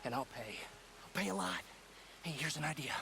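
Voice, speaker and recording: A man's voice: on the high side, animated, brisk, vocal fry, background noise. A man playing a slippery, wheedling outlaw who bargains and pleads in a video game western. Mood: desperate pleading. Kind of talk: video game cutscene acting.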